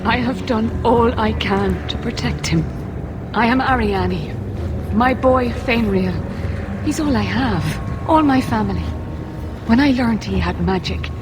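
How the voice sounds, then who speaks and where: A woman's voice: mid-range voice, background noise, lightly acted. An actress playing a worried, pleading mother in a video game. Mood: anguished, confiding. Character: worried, pleading mother of a runaway mage boy.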